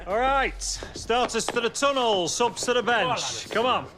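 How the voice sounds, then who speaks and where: A man's voice: very high-pitched, expressive, a little slow. A man playing a blunt, matter-of-fact football coach in a video game cutscene. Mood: brisk. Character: blunt, fair-minded male football coach.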